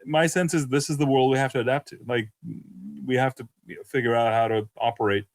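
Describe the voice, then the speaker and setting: A man co-hosting a podcast in casual, unscripted discussion, haltingly searching for his words and repeating phrases. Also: medium pitch, fairly even intonation, dry recording. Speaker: podcast co-host.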